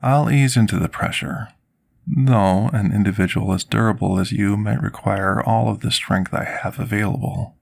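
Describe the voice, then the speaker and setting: Gentle fantasy potion master, played by a male actor: deep, gravelly, soft-spoken ASMR, politely submissive servant. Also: steady tone, moderate pace. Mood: soothing, tender. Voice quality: husky, gravelly. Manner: formal, polite, submissive, archaic wording. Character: gentle, timid, deferential male potion master serving a dragon.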